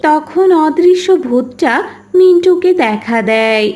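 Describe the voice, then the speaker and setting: A woman's voice: high-pitched, animated, measured pace, mellow. A woman narrating a scripted children's story.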